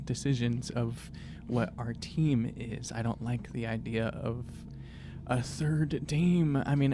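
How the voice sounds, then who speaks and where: Man, tabletop player, informal banter, halting delivery, mid-range voice, noticeable ambient noise. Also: medium pitch. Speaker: player in a tabletop role-playing podcast. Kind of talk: casual improvised in-character talk and banter.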